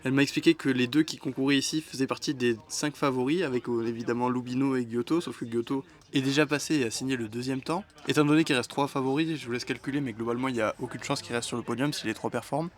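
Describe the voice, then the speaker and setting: A man's voice: mid-range voice, fairly even intonation, quick pace, hardly any pauses. A young man narrating a voice-over in a casual, explanatory way with chatty asides. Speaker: vlogger narrating. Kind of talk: voice-over commentary.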